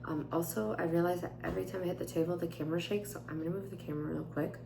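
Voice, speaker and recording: Young woman vlogger, raspy and worn, gravelly low voice, chatty with lots of fillers, echoey home room. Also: fairly even intonation, racing, noisy background. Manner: chatty with frequent fillers, gentle and candid. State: tired, voice strained. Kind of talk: casual chat and journal reading. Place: indoors at home, at a table. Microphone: camera on tripod.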